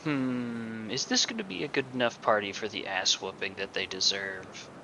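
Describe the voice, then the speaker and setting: A man's voice: fairly low pitch, moderate pace, background noise. A man streaming a video game with casual, wisecracking commentary full of playful bravado. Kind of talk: casual live gameplay commentary. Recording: soft game music behind.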